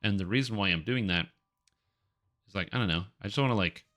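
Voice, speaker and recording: Congested, bright-voiced man streaming a game. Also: fairly low pitch, quick pace. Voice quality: congested, nasal. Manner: rambling, talks to himself and viewers. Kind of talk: casual live-stream chat while gaming. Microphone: close microphone.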